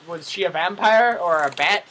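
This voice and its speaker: A young man joking casually as co-host of a gaming video, excitable and exclamatory, often playfully scolding. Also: high-pitched, normal speed, over a noisy crowd, low-quality recording. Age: young adult.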